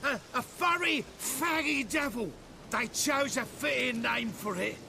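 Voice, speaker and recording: An actor playing a cowardly, tipsy fire-eater jester who stammers nervously. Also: male voice, very high voice, unhurried, creaky, exaggerated voice. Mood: shaken. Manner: jittery, stammering, fearful. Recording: game audio with steady hiss.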